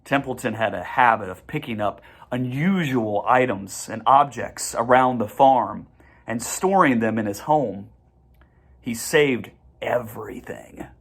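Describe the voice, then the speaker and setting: A reader narrating a children's storybook in an expressive manner. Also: medium pitch, a little slow, breathy edge. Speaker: audiobook reader.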